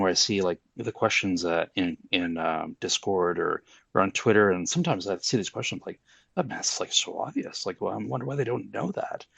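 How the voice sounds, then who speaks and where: Gravelly low-voiced man, slightly muffled. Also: a bit bright, no echo. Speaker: host of a crypto audio discussion. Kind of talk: casual group discussion. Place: live online audio room, close and dry. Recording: slightly dull online audio, dry.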